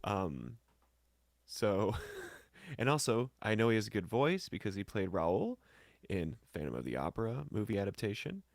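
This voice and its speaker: A man's voice: lowish voice, sing-song, normal speed, vocal fry, dry recording. An American man chatting casually as a guest, rambling and joking as he tells stories. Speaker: musician and guest on the show. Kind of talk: casual chat, storytelling.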